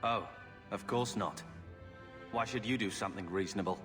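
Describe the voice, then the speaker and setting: A male actor voices a brooding, resentful young man for a video game. His low voice has a sarcastic edge and rings with long reverb over faint music. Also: normal speed, natural voice. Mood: bitter, resigned. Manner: sullen, sarcastic.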